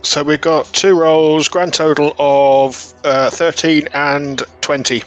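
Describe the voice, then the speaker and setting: A man's voice: a little high, fairly even intonation, slow pace. A man talking casually as a player in a tabletop role-playing game, music playing behind him.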